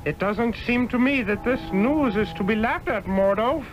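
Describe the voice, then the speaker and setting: An actor playing a wary otherworldly informer, formal and deferential, in a 1960s radio drama. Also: male voice, high voice, normal speed, close to an everyday voice. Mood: wary, servile. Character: wary male otherworldly informer seeking refuge from his foe. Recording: dull lo-fi old radio, loud music.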